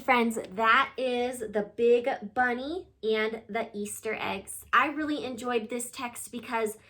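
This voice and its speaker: A woman narrating a children's picture book as an expressive read-aloud storyteller. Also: fairly high pitch.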